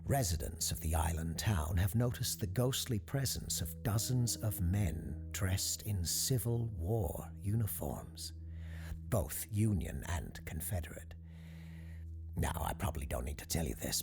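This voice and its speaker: An actor playing a courteous parapsychologist who hosts a radio call-in show in an audio drama, gravelly, measured and formal. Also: male voice, sits low, heavily acted. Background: music under the voice.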